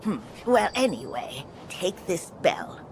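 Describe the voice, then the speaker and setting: A woman voicing a frail, senile old mother who speaks softly and dotingly, in game audio with ambient noise. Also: lowish voice, very animated, slow pace, vocal fry, full character voice. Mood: doting, confused. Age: older. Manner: soft, wandering, doting.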